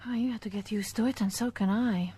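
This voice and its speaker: Radio play artist female actor: calm, willing woman, medium pitch, faint background noise. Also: quick pace, lightly acted. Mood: subdued. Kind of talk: radio drama dialogue.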